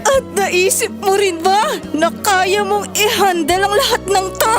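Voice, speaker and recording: An actress playing an anguished, wronged wife in a melodramatic audio drama, tearful, emphatic and drawn-out in her delivery. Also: extremely high pitch. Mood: angry, tearful. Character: anguished, wronged young wife and mother, pleading and reproachful. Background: prominent dramatic music.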